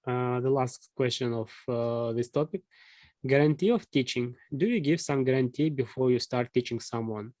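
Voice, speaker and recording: A man who is not a native English speaker, hosting an interview and asking questions haltingly, searching for words with many fillers. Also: medium pitch, darkish tone, no echo. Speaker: interviewer host.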